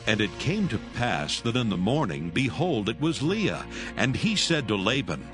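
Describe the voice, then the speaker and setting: A man's voice: medium pitch, big pitch swings, moderate pace. Solemn male scripture narrator over music.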